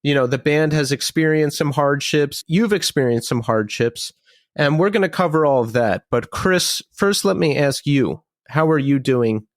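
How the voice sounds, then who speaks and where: A man's voice: on the high side, normal speed, no room sound, clean studio sound. A man hosting a podcast, reading an ad and then interviewing a guest in an upbeat, chatty way. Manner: upbeat, chatty, laughs easily. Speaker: podcast host.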